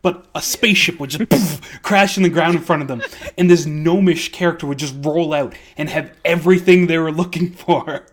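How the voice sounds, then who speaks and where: Guy with a slightly high voice joking around on a drawing stream, laughs a lot, desk mic. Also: moderate pace, few pauses, slight background noise. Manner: playful, laughs often, jokey. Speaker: co-host of an art critique stream. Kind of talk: casual group chat.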